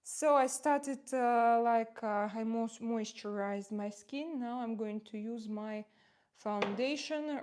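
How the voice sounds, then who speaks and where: A forty-something woman speaks English with a noticeable Russian accent, chatting at an easy, unscripted pace. She often pauses on fillers and restarts her sentences as she talks to her stream audience. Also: on the high side, a little mellow. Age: 40s. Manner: chatty, halting, many fillers, reads out viewer comments. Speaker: live streamer. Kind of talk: casual livestream chat while doing makeup.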